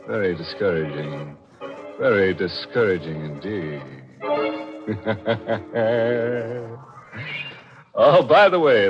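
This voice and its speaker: A ghoulish American host of an old radio mystery show. He is low-pitched, wry and sardonic, savoring morbid puns over clear music on a muffled broadcast. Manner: sardonic, drawn-out asides. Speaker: program host. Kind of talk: tongue-in-cheek 1940s horror radio hosting.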